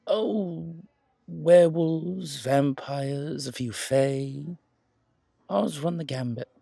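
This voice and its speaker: A man's voice: a little high, very slow, gravelly, mellow, dry recording, clean studio sound, exaggerated voice. A man playing a charming, flirtatious male museum guide in an audio roleplay, chatting intimately with genteel, hesitant asides. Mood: fond, chatty. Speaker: character performer in an audio roleplay. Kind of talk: intimate ASMR roleplay monologue, conversational.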